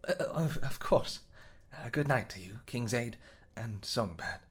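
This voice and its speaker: Deferential, jittery nobleman, done by a man; higher voice, husky, stammering with awe. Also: expressive, measured pace. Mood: awed. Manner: stammering, awed, formal. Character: nervous, deferential male nobleman.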